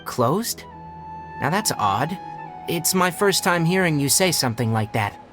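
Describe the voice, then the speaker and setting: A man's voice: fairly high pitch, sing-song, unhurried, music in the background. A man playing a composed, blunt young forest ranger and healer in a game, explaining things in an articulate, matter-of-fact way. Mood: puzzled, critical. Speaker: forest watcher. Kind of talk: English game dub dialogue.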